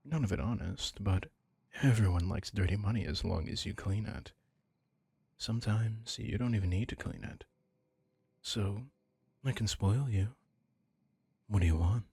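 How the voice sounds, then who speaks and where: A man playing a doting, possessive young mafia heir in an intimate ASMR roleplay, husky and breathy, coaxing tenderly and teasingly. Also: low-pitched, darkish tone, heavily acted. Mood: devoted, possessive.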